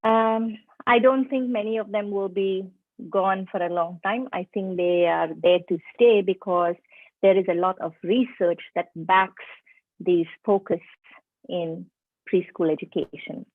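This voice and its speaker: A woman's voice: mid-range voice, steady tone, measured pace. A middle-aged woman with a mixed Indian and Indonesian accent presents to a group of teachers over a video call. She talks in a friendly way and keeps asking whether her listeners are following. The audio is narrow and phone-like, with no room echo. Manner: friendly, checks in with listeners often. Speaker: preschool teacher trainer.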